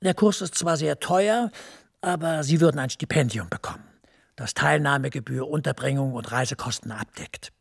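An actor playing a smooth, persuasive senior EU official who speaks in a measured way. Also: male voice, a little high. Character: smooth senior EU director, middle-aged man, persuasive.

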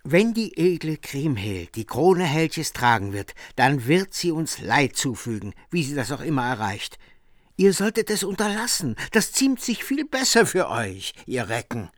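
An actor playing a wary warrior who gives an urgent, emphatic warning. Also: male voice, fairly high pitch, big pitch swings, measured pace, dry recording, studio quality.

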